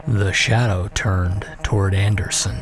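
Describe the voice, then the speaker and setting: A man's voice: fairly low pitch, fairly even intonation, unhurried. A man narrating a scripted suspense story in a dramatic style. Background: prominent dramatic music.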